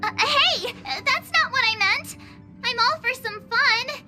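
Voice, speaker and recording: A woman voicing an excitable, fun-loving young adventurer who teases and protests in a game cutscene over music. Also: very high voice, takes it slowly. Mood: protesting. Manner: excitable, whiny, teasing.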